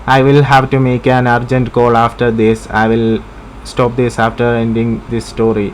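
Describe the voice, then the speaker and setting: A man's voice: medium pitch, level tone, moderate pace, slight background noise, poor audio. A man giving casual, off-the-cuff commentary as he streams a game. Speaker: gameplay streamer.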